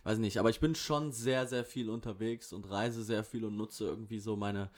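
Casual male guest, lowish voice, slangy, meandering, filler-heavy. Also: moderate pace. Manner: relaxed slangy rambling with many fillers. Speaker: rapper guest. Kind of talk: casual chat. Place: kitchen of an apartment.

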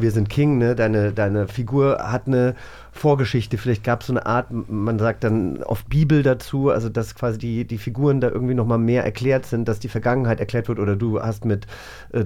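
A man's voice: mid-range voice, darkish tone. A man hosting a casual podcast interview, rephrasing his questions as he goes. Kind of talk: casual chat.

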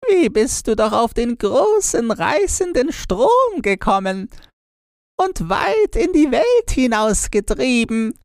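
A man's voice: very high voice, big pitch swings, slow, vocal fry, no echo, studio quality, voice pushed up, full character voice. A man voicing a kindly old sorceress, a doting old woman with a tender, cooing manner, in a fairy-tale audiobook. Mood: pitying.

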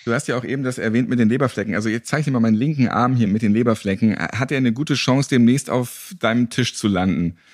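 A man's voice: medium pitch, fast talker, few pauses. A man hosting a casual interview podcast with a jokey, irreverent way of talking. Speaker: podcast host. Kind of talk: casual joking interview and sign-off.

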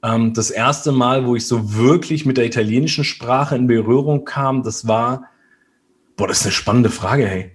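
A man's voice: medium pitch, normal speed. A man from Germany, a guest in a casual interview, talking hesitantly with many fillers and tag questions.

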